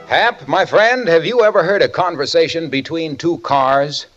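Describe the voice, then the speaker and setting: A male announcer playing an exuberant, folksy pitchman with playful sales patter in a vintage radio advertisement. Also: normal speed, a bit bright, faint music behind, natural voice. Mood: jaunty, playful. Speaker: commercial announcer. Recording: muffled vintage radio recording.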